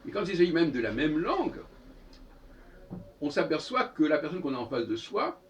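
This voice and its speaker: A man gives an improvised, opinionated lecture, halting and correcting himself, repeating phrases for emphasis. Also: a little high, highs cut off. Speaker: speaker giving a philosophical talk. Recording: distant microphone, noisy room ambience.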